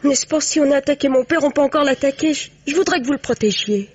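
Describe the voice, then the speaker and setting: A woman's voice: high-pitched, fast, a little mellow, no room sound, lightly acted. A woman playing a frightened, guilt-ridden young woman in a French radio mystery, hesitant and pleading in a melodramatic old-fashioned style. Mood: fearful, pleading. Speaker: actress in a radio mystery play. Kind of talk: 1950s French radio drama acting. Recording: muffled old radio recording.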